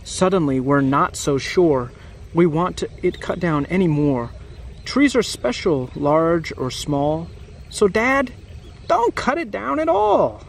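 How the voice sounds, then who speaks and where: A man's voice: a little high, big pitch swings, slow, vocal fry. A man in his thirties reading a picture book aloud for children outdoors, with simple phrasing, chatty asides and questions to young listeners. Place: outdoors in a grassy, wooded yard. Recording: outdoor camera audio with background noise.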